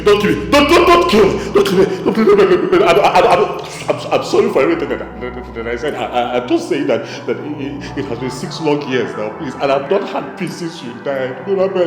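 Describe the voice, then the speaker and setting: Haunted man, Nigerian accent, film drama. Very high, swooping voice, stammering, agitated, self-justifying, in a reverberant space. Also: a little mellow. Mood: terrified, pleading. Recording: echoey, reverberant hall sound with music.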